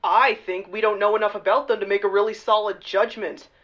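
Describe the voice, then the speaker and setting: Slightly lo-fi, woman acting as a casual wry journalist chatting on a podcast, average pitch. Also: fairly even intonation, a little fast, a bit bright, close to an everyday voice. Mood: wry, relaxed. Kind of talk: conversational podcast banter in a radio play. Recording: slightly dull, lo-fi remote recording.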